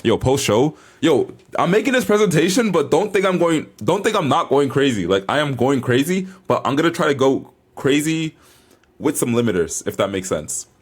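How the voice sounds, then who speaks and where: A man's voice: on the high side, very animated, moderate pace, breathy edge. A young man vlogging as a bodybuilder, chatting casually to camera in a hyped, informal, slangy way.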